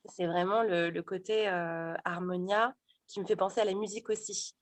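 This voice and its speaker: A woman's voice: mid-range voice, steady tone, normal speed, no echo. A woman in her thirties, a sophrologist and stage actor, talking casually in an interview with many fillers and restarts. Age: 30s.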